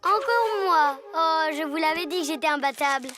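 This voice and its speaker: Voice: high-pitched, normal speed, natural voice. An actor voicing a showy, boastful child villain in a French superhero cartoon dub. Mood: gloating. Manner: showy, bragging, exclamatory. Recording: music behind the voice. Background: loud children's music.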